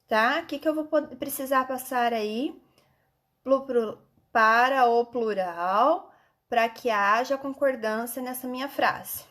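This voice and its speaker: A Brazilian woman with a fairly high-pitched voice speaks as a schoolteacher in an online lesson. She walks children through textbook exercises in an instructive manner, often pausing to check that they follow. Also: a bit bright. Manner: instructive, frequent check-in questions.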